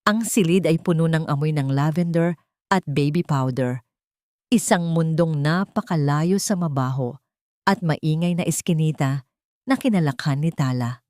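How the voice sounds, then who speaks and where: A woman's voice: deep voice, moderate pace, a little mellow, no echo, studio quality. A woman with a Filipino accent narrating a dramatic story from a script.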